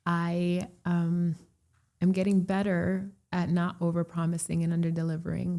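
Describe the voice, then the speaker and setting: Low gravelly woman, chatty and laughing. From Puerto Rico. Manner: animated, laughs often, chatty and warm. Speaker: real estate broker, podcast guest. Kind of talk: casual interview chat.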